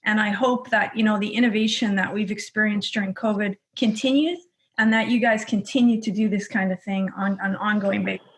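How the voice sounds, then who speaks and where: A woman from Montreal, a real estate investor, talking in a measured, explanatory way over a video call. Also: medium pitch, steady tone. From Montreal, Canada. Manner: measured, explanatory, figures-heavy. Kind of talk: video call panel talk.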